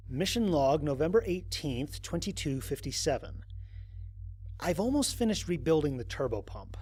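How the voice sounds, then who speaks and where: A man's voice: on the high side, normal speed, slight background noise. A man playing a lone astronaut who narrates his mission logs in a wry, exasperated, conversational way, as in an audio drama. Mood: wry, upbeat. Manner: conversational, expressive, sardonic. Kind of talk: dramatized first-person log narration.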